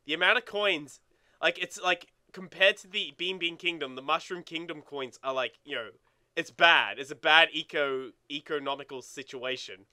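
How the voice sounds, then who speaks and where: A man's voice: on the high side, moderate pace, bright, forceful tone. A young man in casual gaming banter as a let's play co-host, rambling with lots of "like" and swearing. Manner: rambling, filler-heavy with frequent "like".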